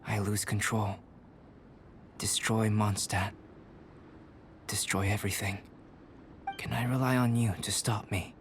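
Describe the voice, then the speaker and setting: An actor playing a calm, thoughtful young male alchemist in a video game, speaking in measured, formal, philosophical phrases. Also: on the low side, background noise. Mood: grave, quiet. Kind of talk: scripted video game dialogue. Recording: music behind the voice.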